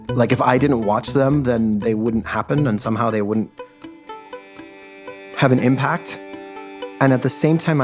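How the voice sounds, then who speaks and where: A man sharing personal reflections in an interview. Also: mid-range voice.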